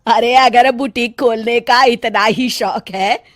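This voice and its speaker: Woman putting on a shrill, bossy old mother-in-law. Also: steady tone, slow. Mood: sarcastic scolding. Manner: scolding, sarcastic, domineering. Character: greedy, bossy, hot-tempered elderly mother-in-law. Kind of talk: Hindi family comedy cartoon.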